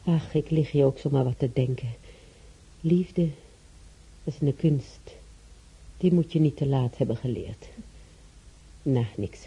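A woman's voice: extremely low pitch, measured pace, vocal fry, long pauses, dark tone, husky, lo-fi sound, exaggerated voice. A woman playing a wistful, chatty elderly bedridden patient in a radio play, musing and correcting herself kindly. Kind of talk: Dutch radio play acting.

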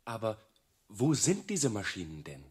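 A young novice monk, thinks aloud in a radio play. He is earnest, naive and wary. The voice is lowish and recorded dry and close. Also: huge pitch swings, a little slow, a little mellow, slightly rough, no echo, heavily acted. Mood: wary, puzzled.

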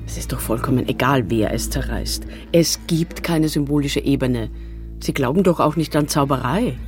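A woman's voice: rumbling low, moderate pace, soft background music. An actress playing a blunt, scornful woman in a German radio drama, dismissive and insistent. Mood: scornful, brisk. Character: blunt, scornful woman who dismisses illusions and others' troubles.

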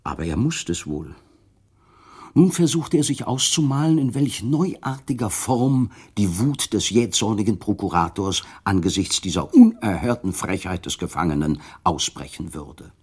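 A man's voice: on the low side, animated, moderate pace, slightly rough. A man narrating a literary radio drama from a script, telling the story in formal prose.

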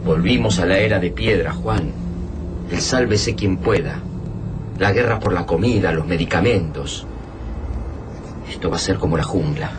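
Actor as practical, wary male survivor, Argentine, lowish voice, radio play with clear noise behind. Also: moderate pace, pauses often, lightly acted. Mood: grim. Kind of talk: radio drama acting.

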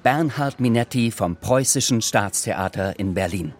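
Low-voiced male radio drama narrator. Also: animated, unhurried.

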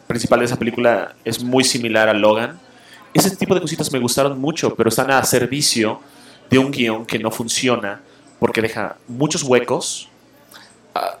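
A man's voice: medium pitch, echoey room. A man hosting a show, giving a blunt, opinionated film review full of fillers and restarts. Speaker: show host reviewing films and comics. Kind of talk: casual opinionated radio-style review.

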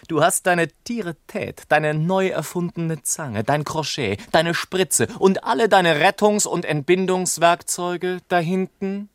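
An actor playing a wry, rhetorical, mock-heroic gentleman narrator in a literary radio play. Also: fairly high pitch, no room sound, clean studio sound.

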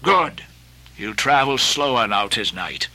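A man voicing an old, obsessed sea captain, declaiming archaic lines with booming passion. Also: animated, slow pace, creaky, sharp tone, heavily acted. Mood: commanding. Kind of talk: audiobook character voicing.

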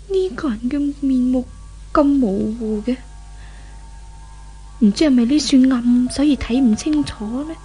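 High-voiced young Cantonese heroine. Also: female voice, mellow, low-quality recording. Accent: Hong Kong Cantonese. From Hong Kong. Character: distressed young woman, humbled, awed by a spirit. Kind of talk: 1970s radio drama acting. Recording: 1970s radio drama with music.

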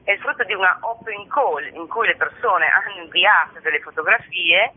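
A woman's voice: fairly low pitch, normal speed, husky. A woman speaking as an artist presenting her work in an explanatory interview style, often checking in with short tag questions. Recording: narrow tinny telephone-like line.

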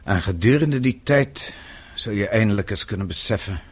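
An actor playing a vengeful middle-aged father in a radio play, speaking in a measured, deliberate and formal way. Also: fairly low pitch, expressive, slightly rough. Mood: cold menace. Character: vengeful father, composed, coldly methodical older man.

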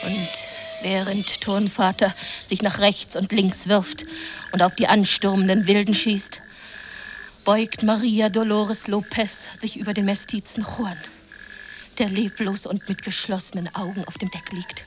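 A woman's voice: mid-range voice, level tone, measured pace, close to an everyday voice. An actress playing an impassioned woman who tells a lurid adventure fantasy in a melodramatic, intense radio play manner, at times whispering. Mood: feverish excitement. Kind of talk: radio play narration and acting. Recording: noisy jungle ambience behind.

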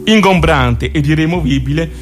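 A man's voice: fairly high pitch, normal speed, soft background music, lightly acted. A man playing an emphatic, mock-exasperated storyteller in a comic radio theatre monologue. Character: exasperated male storyteller describing maddening slow drivers.

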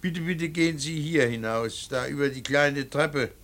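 An actor playing a stern, anguished middle-aged father in a radio drama, speaking in a deliberate, halting and emphatic way. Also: fairly high pitch, a bit bright. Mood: measured concern. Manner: deliberate, halting, emphatic, swinging from muttered to pleading. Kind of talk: German radio drama acting.

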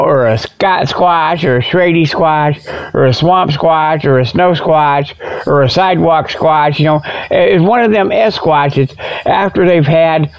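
Folksy gravelly man, rambling, lo-fi sound. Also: fairly high pitch. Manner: folksy, informal, repeatedly tags phrases with "okay". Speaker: host. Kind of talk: rambling comic radio monologue. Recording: dull lo-fi radio sound.

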